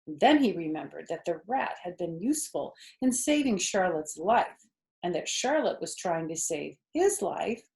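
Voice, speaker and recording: A woman's voice: lowish voice, very animated, a little slow, gravelly, darkish tone. A woman storyteller reading a children's book aloud expressively, with chatty asides to young listeners.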